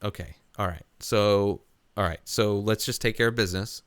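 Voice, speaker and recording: Man, lowish voice, dry close sound, halting and profane, casual comedic podcast banter. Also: fairly even intonation, clear, resonant tone, no room sound. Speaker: podcast host.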